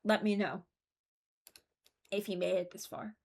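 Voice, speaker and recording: A woman's voice: medium pitch, little pitch movement, measured pace. A woman hosting a video, giving casual, rambling commentary and hesitating as she thinks aloud. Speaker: video creator and host.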